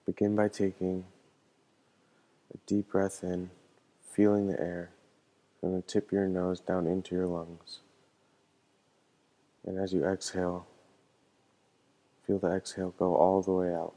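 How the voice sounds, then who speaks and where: A man leading a guided meditation as a teacher, speaking in a calm, gentle and measured way. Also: low voice.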